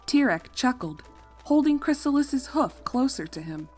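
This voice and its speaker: A woman's voice: sits high, very animated, measured pace, faint music behind. A woman reading scripted story narration as the narrator of a fan fiction.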